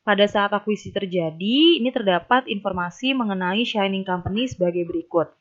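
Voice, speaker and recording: Casting: young female tutor, medium pitch, explains step by step, slightly dull low-fidelity sound. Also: brisk. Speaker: accounting tutor. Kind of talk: instructional narration of a worked problem. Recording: dull, lo-fi voice recording.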